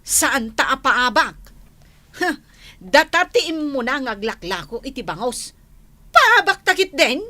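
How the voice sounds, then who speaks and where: A woman with an Ilocano Filipino accent playing an excitable, fretful fish-selling wife in a radio comedy drama. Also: high-pitched, unhurried, pauses often, dry recording, exaggerated voice. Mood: indignant.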